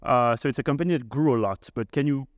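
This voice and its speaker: A man's voice: medium pitch, fast talker, no echo, highs cut off. A man hosting a podcast, introducing and questioning a guest with frequent fillers. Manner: frequent "uh" and "you know" fillers. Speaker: podcast host. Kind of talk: podcast interview.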